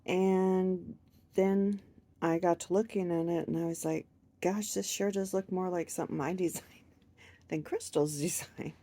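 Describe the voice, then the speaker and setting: A woman's voice: on the low side, steady tone, measured pace, mellow. Stuffed-up woman vlogging with a cold. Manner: rambling, self-deprecating with frequent laughs. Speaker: crochet vlogger. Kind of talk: casual chat to camera.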